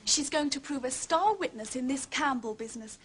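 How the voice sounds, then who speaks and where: A woman's voice: sits high, lively intonation, brisk, a little hiss or hum. An actress playing a plucky, chatty, well-bred girl in a period drama, jolly and confident, with an upper-class English accent. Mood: bright, confident. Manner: jolly, confident, matter-of-fact storytelling. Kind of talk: period detective TV drama acting.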